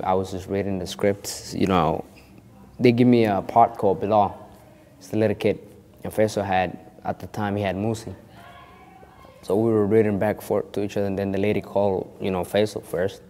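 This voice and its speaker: Young man with a mellow low voice. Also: big-room sound. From born in Kenya of a Somali family. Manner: rambling, many restarts and "you know". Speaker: actor interviewed. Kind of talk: casual storytelling interview.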